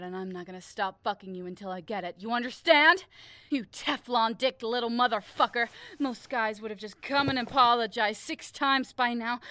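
A woman playing a bossy, demanding young adult college senior in an adult audio roleplay, with a breathy, emphatic delivery. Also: a little high, sharp tone. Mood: commanding, teasing. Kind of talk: adult intimate audio roleplay, dramatic monologue.

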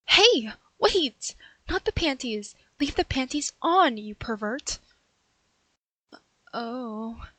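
A high, sassy catgirl cat burglar, played by a young woman in an audio roleplay, teasing and cheeky, slipping in breathy meows. She speaks slowly and deliberately. The recording is close and dry, with no room sound. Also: fairly bright tone, dry recording, full character voice. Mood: flustered.

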